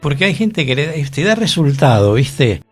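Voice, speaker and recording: Man, Argentine accent, conversational radio host, mid pitch, faint music behind. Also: very animated, normal speed, slightly rough. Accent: Argentine Spanish. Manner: chatty, conversational. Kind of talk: casual radio discussion. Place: radio studio.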